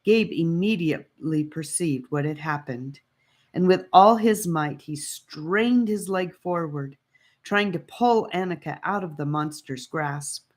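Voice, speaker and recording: A woman's voice: low-pitched, a little slow. A woman reading an adventure audiobook as a dramatic storybook narrator.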